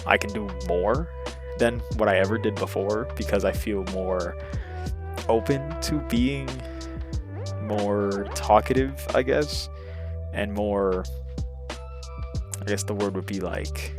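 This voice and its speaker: A man's voice: lowish voice, slow, long pauses, over music. A man in his twenties rambling casually and hesitantly into an old webcam, with a self-deprecating manner. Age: 20s. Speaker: YouTuber.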